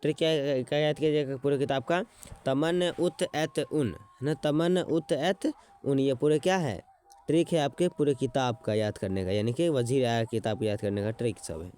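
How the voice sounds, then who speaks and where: A man teaching an exam-prep lesson, repeating words slowly as he writes them out and checking in with his students as he goes. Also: medium pitch, a little mellow, clear, resonant tone. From Bihar.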